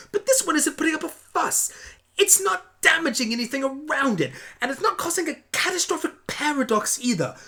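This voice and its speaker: A man voicing an excitable, brainy male pony time traveler, wordy and theatrical, in an amateur audio drama. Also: very high voice, pitched above the performer's own voice, exaggerated voice.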